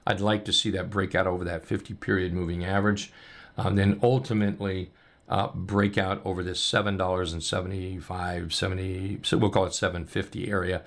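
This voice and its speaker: A man coaching on trading, talking loosely and upbeat with many ums and restarts as he walks through charts, his voice going hoarse. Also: sits low, flat delivery, fairly quick. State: losing his voice. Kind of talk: casual chart walkthrough.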